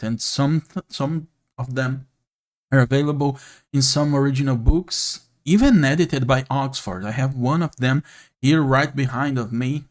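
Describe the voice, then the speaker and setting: Man, Brazilian Portuguese accent, non-native English, unhurried, earnest, halting, camera monologue. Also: mid-range voice. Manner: slow, earnest, with frequent pauses and fillers. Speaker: YouTube channel host. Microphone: camera mic, speaking to camera.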